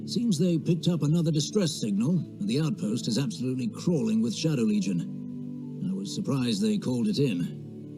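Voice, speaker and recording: An actor playing a courteous, concerned military ally who gives a measured mission briefing in a video game. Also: male voice, medium pitch, lightly acted, poor audio. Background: music.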